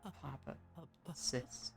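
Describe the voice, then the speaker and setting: A performer voicing a scared, guilt-ridden young man who mutters in a hushed, vacant way. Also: drawn-out words, faint music behind. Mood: numb guilt.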